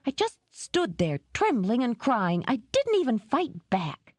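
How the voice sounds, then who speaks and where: A spirited, quick-tempered young woman shopkeeper, as an audiobook narrator puts her on, pitched well above the reader's own low voice and pushed louder with emphatic stress. The sound is close and dry. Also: wildly swooping pitch, no room sound, full character voice. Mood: indignant. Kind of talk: audiobook character reading.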